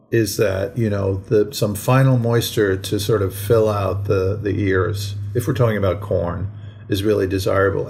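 An American man talking conversationally as a podcast co-host, in a measured, explanatory way with frequent asides. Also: on the low side, vocal fry, a little mellow. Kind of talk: conversational current-events discussion. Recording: faint background noise.

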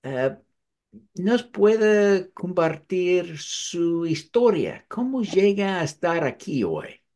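Halting, slow-talking male host. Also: high-pitched, sing-song, a little mellow. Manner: hesitant, halting, polite. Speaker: interview host. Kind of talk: interview questions.

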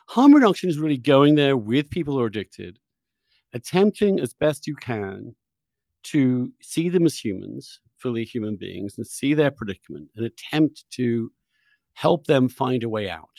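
A man's voice: medium pitch, creaky, darkish tone, dry recording. A man hosting a long-form interview, speaking in an earnest, discursive way and often rephrasing as he goes. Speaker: podcast host.

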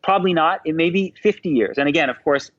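A man who writes, answering as a podcast guest in a thoughtful, measured way, qualifying his points as he goes. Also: on the high side, dull audio. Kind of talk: interview answers.

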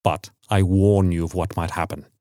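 A man voicing a middle-aged sergeant major in a story, grave and haunted, speaking in a quiet, deliberate, warning way. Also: sits low, fairly even intonation, no room sound, studio quality, voice pushed down, lightly acted. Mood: stern warning.

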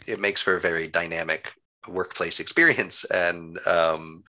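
A man's voice: low voice, fairly even intonation, a little slow, sharp tone. A man being interviewed in a conversational way, giving wordy, upbeat explanations as a local government employee.

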